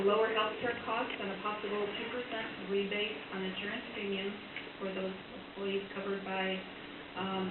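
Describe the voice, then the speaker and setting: Female city staffer, prepared meeting report, tinny sound, far from the mic in a heavily reverberant room. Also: mid-range voice. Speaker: city staff member presenting to council. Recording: very echoey room, distant mic, tinny, loud noise.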